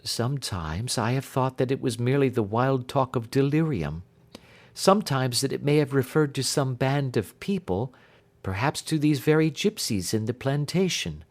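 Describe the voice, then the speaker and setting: A troubled young gentlewoman of a Victorian storybook , voiced by a man reading aloud. She speaks earnestly and formally, carefully laying out her account. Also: medium pitch, close to an everyday voice. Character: Helen, distressed young gentlewoman recounting her sister's death.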